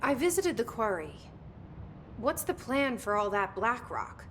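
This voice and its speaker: A woman's voice: a little high, big pitch swings, a little slow, fairly bright tone, a little hiss or hum. An actress playing a guarded, determined young woman in a video game, with naturalistic delivery. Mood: dry, reserved. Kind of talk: naturalistic game acting with inner monologue.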